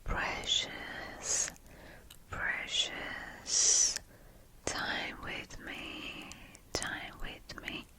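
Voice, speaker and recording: Very slow whispering woman, ASMR. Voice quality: breathy unvoiced whisper. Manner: slow, gentle, repeating words and phrases. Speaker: ASMR host. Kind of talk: ASMR guided relaxation.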